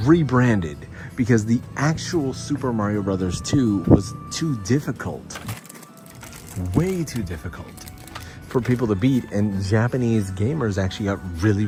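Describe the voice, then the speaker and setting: Man on a walking livestream, chatty, excitable, music playing behind. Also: lowish voice. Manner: chatty, enthusiastic, often laughing and exclaiming. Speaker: streamer vlogging around Tokyo. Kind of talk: live-stream walking vlog. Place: shop in Tokyo, then outdoors on a street. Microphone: handheld camera on gimbal.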